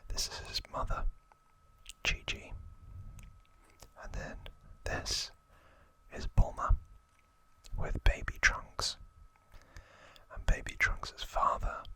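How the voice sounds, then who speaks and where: An ASMR host whispering gently and deliberately, drawing words out as they present to the listener.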